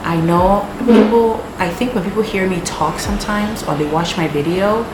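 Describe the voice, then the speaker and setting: A woman's voice: medium pitch, moderate pace. A young Ghanaian woman, a native Twi speaker, chatting casually to camera in a vlog and often checking in with "okay?". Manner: chatty, keeps asking "okay?". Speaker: vlogger. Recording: echoey room with loud air conditioning hum.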